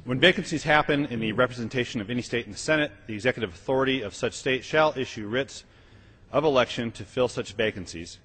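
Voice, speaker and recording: Very fast, husky man in echoing hall. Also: medium pitch, a bit bright. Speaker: member of Congress. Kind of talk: formal reading aloud of a legal document. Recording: reverberant large legislative chamber.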